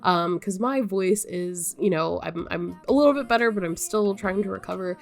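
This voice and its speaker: A woman's voice: on the low side, normal speed, creaky, faint music behind. A young woman with a nasal voice, sick and with her voice wearing out, chatting to her viewers in a rambling way, full of ums and likes. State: stuffy-nosed and sick, voice wearing out. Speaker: gaming YouTuber. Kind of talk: casual chat to viewers.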